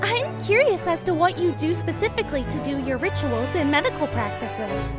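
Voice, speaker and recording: A woman voicing a bubbly, sweet, excitable young girl in a fan audio drama. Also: high voice, normal speed, music in the background. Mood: curious, bubbly. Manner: gushing, excitable, exclamatory. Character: bubbly, sweet, energetic young girl who loves colors.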